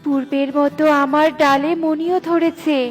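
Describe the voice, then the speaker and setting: Woman as a distant, high-voiced magic tree. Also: flat delivery, unhurried, soft background music. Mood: solemn. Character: benevolent magic tree, protective of the poor, stern to the greedy. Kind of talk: cartoon voice acting.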